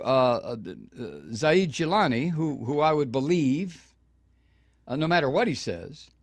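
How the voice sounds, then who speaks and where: A man's voice: fairly high pitch, no echo, clean studio sound. An older man hosting a political talk show, delivering indignant commentary with frequent restarts and stumbles. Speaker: radio talk show host.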